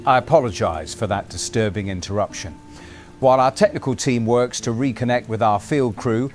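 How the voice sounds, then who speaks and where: A man playing a composed radio news presenter, with a formal, measured broadcast delivery. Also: medium pitch, close to an everyday voice. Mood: urgent, controlled. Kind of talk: scripted broadcast news narration. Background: music bed.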